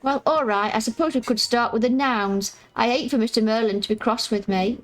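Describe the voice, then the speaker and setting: A prim and proper young girl from a storybook world, speaking in polite, formal phrases, as a woman reads her lines aloud. The voice sits a little high and has a croaky edge. Faint music plays behind her. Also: fairly quick, lightly acted, poor audio. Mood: fretful.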